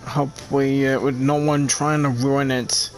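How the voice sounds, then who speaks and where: A man hosting a video channel, talking informally to subscribers in a halting, earnest way. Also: mid-range voice, little pitch movement. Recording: faint background noise.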